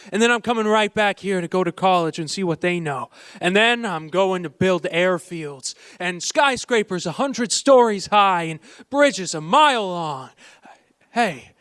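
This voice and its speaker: A man's voice: high-pitched, a bit bright, clean tone, no room sound. An actor in an old-fashioned live radio play playing a restless small-town young man, eager, boyish and stammering.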